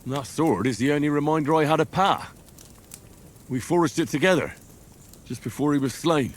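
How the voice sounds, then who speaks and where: A man's voice: fairly high pitch, normal speed, natural voice. A man playing a young blacksmith's son turned soldier in a medieval video game, blunt, earthy and dutiful. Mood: wistful.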